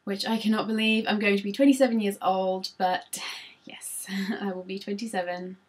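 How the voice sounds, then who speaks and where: A woman's voice: medium pitch, level tone, moderate pace, husky. A woman, chatting casually through tag questions on her vlog with frequent ums, recorded on a laptop. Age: 20s. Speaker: vlogger.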